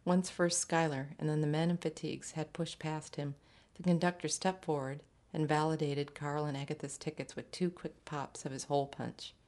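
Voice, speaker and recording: A woman reading a literary short story aloud in a measured, scripted narration. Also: deep voice, level tone, darkish tone. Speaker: story reader.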